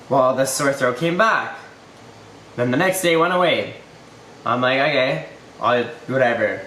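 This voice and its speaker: A man in his twenties telling a rambling story-time vlog, his voice slightly hoarse. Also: a little high, fairly bright tone, roomy sound, a little hiss or hum, poor audio. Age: 20s. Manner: rambling and loose, lots of "like" and "you know". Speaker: YouTuber.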